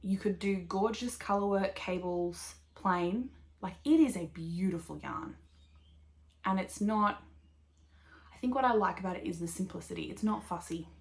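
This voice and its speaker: An Australian woman in her thirties chats casually to camera as a podcast host. Her talk is rambling and upbeat, full of "like" and "um", at an ordinary middle pitch. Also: normal speed, gravelly. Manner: rambling, upbeat, full of fillers and little laughs. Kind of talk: casual chatty knitting podcast.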